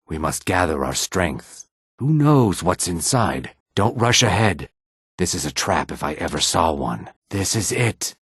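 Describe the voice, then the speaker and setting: An actor playing a weary, guarded male lizard-folk character in a fantasy video game, speaking in a measured, formal way with a raspy, rumbling voice. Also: fairly bright tone, no room sound, clean studio sound, heavily acted. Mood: tense, alert. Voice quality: raspy, husky, low rumbling.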